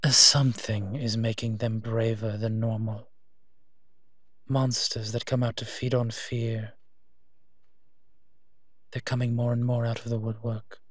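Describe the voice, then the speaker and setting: A man playing a gentle, teasing monster who protects children, speaking softly in an intimate audio roleplay addressed to the listener. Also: mid-range voice, flat delivery, no room sound, clean studio sound, close to an everyday voice. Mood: serious, concerned. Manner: soft-spoken, unhurried, playfully teasing.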